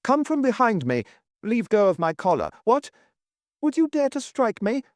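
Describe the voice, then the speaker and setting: A man's voice: very high-pitched, wildly swooping pitch, normal speed, darkish tone, dry recording, pitched above the performer's own voice, exaggerated voice. A man voicing an old, suspicious nobleman collector who nags and snarls in a cold, mocking way. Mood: alarmed. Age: older. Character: old nagging suspicious male lord collector. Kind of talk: audiobook reading.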